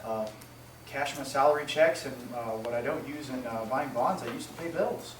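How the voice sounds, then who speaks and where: An actor playing a hesitant, slightly defensive husband in an old-style radio mystery. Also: male voice, medium pitch, moderate pace, lightly acted. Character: hesitant, soothing husband roused at night. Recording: roomy, distant stage recording, faint noise.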